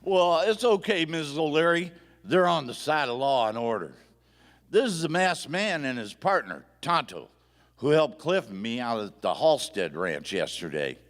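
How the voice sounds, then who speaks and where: A man playing a folksy, animated sheriff. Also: medium pitch, normal speed. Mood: hearty. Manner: folksy, emphatic. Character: plain-spoken, stubborn small-town Western sheriff. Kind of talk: old-time radio western acting. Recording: echoey live theatre hall.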